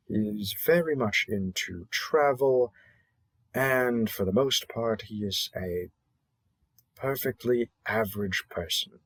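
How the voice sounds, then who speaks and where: A man acts out a cheerful matchmaking host for a monster dating app in an audio roleplay. His voice is fairly low and husky. He takes his time, stretching key words and pausing theatrically as he presents each match. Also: dry recording, exaggerated voice. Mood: wry, diplomatic. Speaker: matchmaking announcer. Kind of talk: scripted roleplay audio narration.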